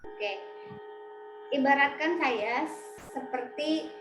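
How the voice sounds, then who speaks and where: High-voiced woman lecturing on a video call. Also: takes it slowly, over music, low-quality recording. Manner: questioning, prompts students with frequent tag questions. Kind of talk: online sociolinguistics lecture. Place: home, online class.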